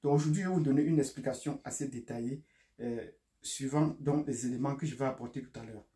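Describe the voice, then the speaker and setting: A man's voice: medium pitch, fast, dark tone. Cameroonian-accented man in an echoey room. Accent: Cameroonian French. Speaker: video host and geopolitical commentator. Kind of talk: explanatory monologue to subscribers. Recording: echoey, roomy sound.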